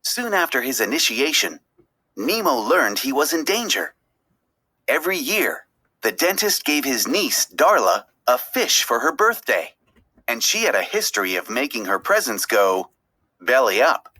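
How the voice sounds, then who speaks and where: A woman reading a children's story aloud as an expressive, dramatic narrator. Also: a bass voice, measured pace, husky. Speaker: storybook reader.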